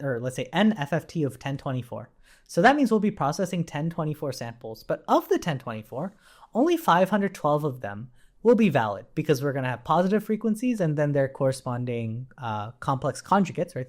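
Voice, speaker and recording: A man giving an informal technical code walkthrough as a tutorial instructor, conversational and often checking in as he explains. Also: a little high. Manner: conversational, often tags points with "right?".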